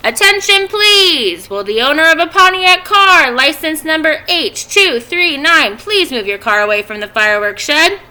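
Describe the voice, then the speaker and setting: A woman voicing an emphatic, official fairground loudspeaker announcer in a storybook. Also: high-pitched, animated, normal speed, hardly any pauses, voice pushed up, full character voice. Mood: loud, commanding.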